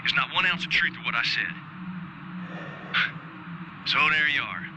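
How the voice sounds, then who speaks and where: A man's voice: mid-range voice, expressive, a little slow. An actor playing a bitter, sardonic doomed male astronaut in a radio drama, through an intercom filter. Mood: bitter, confessing. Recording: very noisy spaceship radio link.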